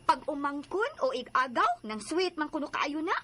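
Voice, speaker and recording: A woman's voice: sits high, big pitch swings, moderate pace, sharp tone, a little hiss or hum, full character voice. A woman playing a blunt, suspicious friend passing on gossip in a radio drama, with a Cebuano Filipino accent. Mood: gossipy, insinuating. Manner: gossipy, insinuating.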